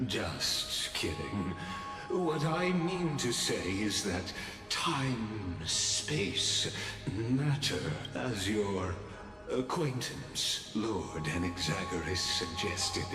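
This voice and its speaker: A deep-voiced, grandiose herald, declaims slowly with wide, swooping inflection. He shifts between solemn proclamation and sly teasing. The game audio is roomy, with a long reverb and loud music underneath. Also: animated. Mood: playful. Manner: theatrical, declaiming, playful. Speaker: the Theoros, a theatrical herald.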